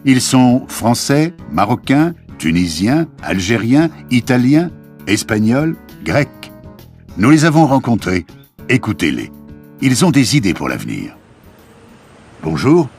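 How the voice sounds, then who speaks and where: An actor playing an upbeat television reporter in a scripted language-lesson report. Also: male voice, mid-range voice, sing-song, takes it slowly, a little mellow, faint music behind. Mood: upbeat, announcing. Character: upbeat male television reporter interviewing young festival-goers.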